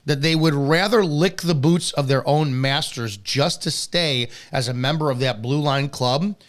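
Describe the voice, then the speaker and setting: A man's voice: on the high side, a little slow. Blunt American man hosting a talk show. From United States. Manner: blunt, profane. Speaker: host of a law enforcement talk show. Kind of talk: live talk show conversation.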